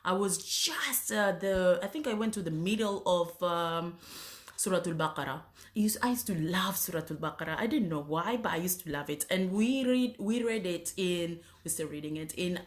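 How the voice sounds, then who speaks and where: A woman from Zanzibar giving a personal testimony about her faith, talking haltingly with many fillers and restarts, often clearing her throat and coughing. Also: fairly low pitch. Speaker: speaker sharing her faith story.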